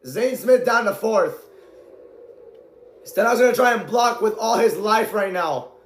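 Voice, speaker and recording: Excitable amateur male race caller, high voice, hoarse from shouting, echoey room. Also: measured pace, slight background noise. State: voice going hoarse from strain. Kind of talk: excited live play-by-play commentary.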